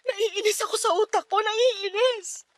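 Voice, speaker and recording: A woman's voice: extremely high pitch, a little slow. A woman playing a worn-out, despairing young mother in a Filipino radio drama, with a Filipino accent and a sullen, pleading manner. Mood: tearful, anguished. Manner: curt and sullen, breaking into tearful pleading. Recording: music behind the voice.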